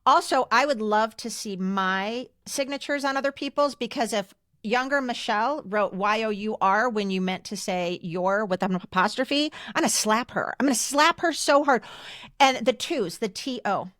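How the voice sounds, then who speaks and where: A woman's voice: on the high side, moderate pace, a bit bright, no echo, clean studio sound. A middle-aged woman co-hosting a casual podcast chat in an emphatic, blunt, opinionated way. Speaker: podcast co-host.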